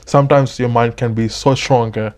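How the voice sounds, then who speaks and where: A man from Sri Lanka hosting a casual interview, nodding along with quick agreeing fillers and telling stories in a room at his workplace. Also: mid-range voice, steady tone, smooth. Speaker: podcast host. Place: room at a dental service workplace.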